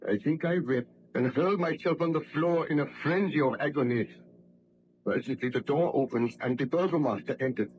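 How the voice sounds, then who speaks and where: An actor playing a desperate, guilt-ridden baron in an old radio drama, with impassioned, formal, theatrical diction. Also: male voice, mid-range voice, fairly quick, darkish tone, lightly acted. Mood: haunted recounting. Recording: noisy vintage radio drama recording.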